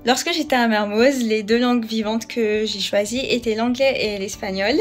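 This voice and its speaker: Young lady lawyer, higher voice, earnest, a few ums. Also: fairly even intonation, moderate pace, faint music behind.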